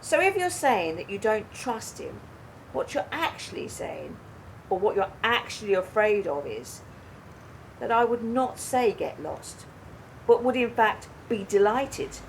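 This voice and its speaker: An actress playing a wry, sharp, defiant woman in a naturalistic radio play. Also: mid-range voice, big pitch swings, unhurried, a little hiss or hum, rough recording. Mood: sharp, challenging. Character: adult woman, wry, sharp, defiant, confessing to her partner. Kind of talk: naturalistic radio play acting, dialogue and monologue.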